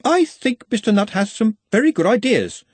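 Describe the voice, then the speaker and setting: A man's voice: high voice, sing-song, normal speed, no echo, voice pushed up. A man voicing a flustered, stammering male wizard professor. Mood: hesitant.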